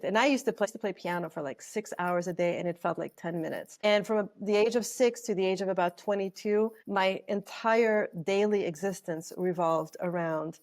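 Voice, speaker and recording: A woman's voice: fairly low pitch, darkish tone. A woman in her forties, a life coach telling her story as a guest in an earnest, flowing, thoughtful way. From Canada. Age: 40s. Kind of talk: reflective storytelling interview.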